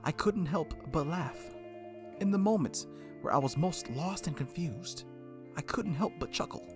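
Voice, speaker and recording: A man's voice: a little high, animated, measured pace. Guy doing a gaming let's play, playful, voice jumps around a lot, bit of music behind him. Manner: playful, self-interrupting, exclamatory. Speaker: gaming video host. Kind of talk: casual let's play chat and reading aloud.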